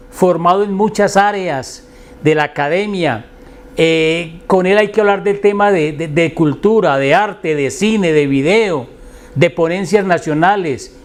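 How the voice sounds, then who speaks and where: High-pitched Colombian man hosting an interview. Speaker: interview show host. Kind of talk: conversational interview.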